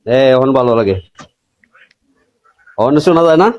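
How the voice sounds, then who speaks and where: A man's voice: medium pitch, expressive, moderate pace, darkish tone, clean tone, no room sound. An older man chatting casually as a caller on a video call. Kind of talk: casual chat.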